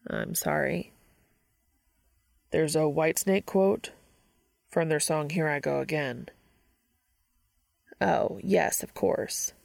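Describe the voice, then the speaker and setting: A woman voicing an earnest, reserved male professor in a story reading, measured and formal. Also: low voice, gravelly, a little mellow, no echo, studio quality, full character voice.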